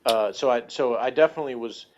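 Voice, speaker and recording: A man's voice: mid-range voice, fast, slight background noise. A man in casual conversation as a podcast co-host, talking in a hesitant, rambling way and often correcting himself mid-sentence. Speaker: podcast co-host reviewing TV shows. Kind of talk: casual chat. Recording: dull lo-fi audio.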